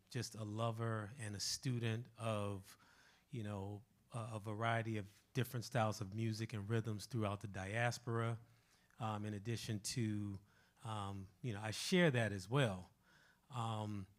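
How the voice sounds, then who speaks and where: A man, a musical director, talking casually and without a script about his work, in an informal, direct way. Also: on the low side. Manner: informal, conversational, addresses listeners directly.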